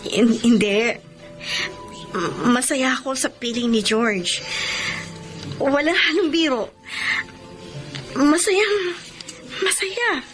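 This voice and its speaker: A woman's voice: high-pitched, slow, long pauses. An actress in a Filipino radio drama playing a devoted, wounded woman leaving her partner, in a heartfelt, melodramatic way. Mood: flustered, hesitant. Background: background music.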